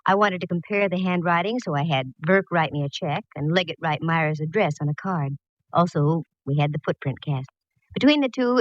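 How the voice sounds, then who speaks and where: A woman's voice: lowish voice, dry recording, natural voice. An actress playing a plucky, wry female private eye, narrating in the style of a 1940s radio drama. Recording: dull, dry vintage radio recording.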